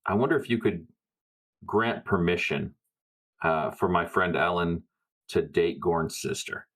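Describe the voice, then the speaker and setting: A man's voice: sits low, measured pace, fairly bright tone. A man playing a tabletop role-playing game, speaking casually and politely as he improvises in character.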